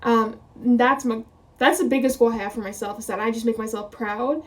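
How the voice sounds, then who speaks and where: A woman's voice: on the high side, fairly even intonation, moderate pace, recorded from a distance. A woman of twenty talking casually to camera as a vlogger, rambling, quoting her own thoughts and laughing often. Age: 20s.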